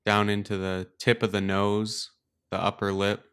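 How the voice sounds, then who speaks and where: Smooth, low-voiced man guiding meditation. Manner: calm, gentle, unhurried phrasing with pauses. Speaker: meditation teacher and podcast host. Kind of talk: guided meditation, slow and instructional.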